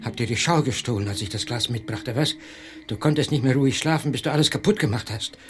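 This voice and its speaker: An actor playing a brooding, wounded village husband in a radio drama, husky and intimate, often slipping into near-whispers. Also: male voice, mid-range voice, quick pace, close to an everyday voice. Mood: bitter, angry. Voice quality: husky, hushed delivery. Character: brooding, wounded village husband narrating his own story. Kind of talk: German radio drama, first-person narration and dialogue.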